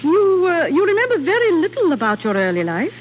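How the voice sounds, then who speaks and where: A woman's voice: very high-pitched, wildly swooping pitch, fairly quick, exaggerated voice. An actress playing a haughty, shrewd English society lady in an old radio drama, condescending and archly polite. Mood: mocking. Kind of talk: 1940s radio acting. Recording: tinny, hissy old radio broadcast.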